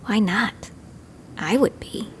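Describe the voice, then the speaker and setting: An earnest, inquisitive woman scout in an audio drama. Her bright voice has a middle pitch, over a faint rumbling noise. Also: animated, slow pace. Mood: hushed, curious.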